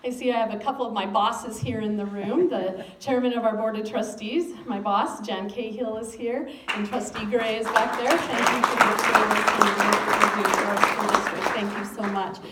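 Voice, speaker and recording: An American woman addresses a local gathering as school superintendent, pausing often with ums. Her voice sits mid-range and is picked up from a distance in an echoey room, with a faint hiss underneath. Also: mid-range voice, steady tone. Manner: frequent ums, speaks of having a loud voice. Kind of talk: public speech to a civic gathering. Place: meeting room.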